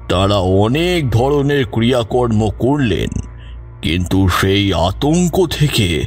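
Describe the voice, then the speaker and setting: A man playing a grave grandfather who tells of evil spirits in a measured, suspenseful horror audio story. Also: medium pitch, darkish tone, slightly rough, exaggerated voice. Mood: grave, ominous. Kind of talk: slow dramatic horror storytelling.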